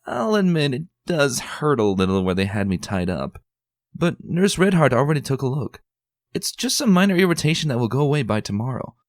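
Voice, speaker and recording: A man's voice: mid-range voice, big pitch swings, fairly quick, no echo, clean studio sound, voice pushed up, close to an everyday voice. A man voicing an earnest, bookish young woman unicorn who sounds mildly exasperated.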